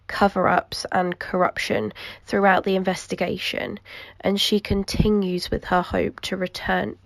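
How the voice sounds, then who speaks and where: A young woman chats through a true crime story, her voice gravelly with vocal fry as she recovers from the flu. She fills her talk with ums and keeps an unhurried pace. The sound is close and dry, with no room echo. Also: mid-range voice, flat delivery. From United Kingdom. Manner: frequent ums, soft and unhurried. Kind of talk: casual true crime vlog while colouring.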